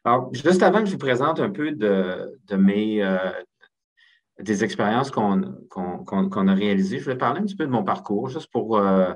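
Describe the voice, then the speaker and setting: A man in his fifties with a Québécois French accent gives an academic seminar presentation in a measured, hesitant way, often repeating words. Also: lowish voice, lively intonation. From Quebec, Canada. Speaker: health agency executive presenting a seminar.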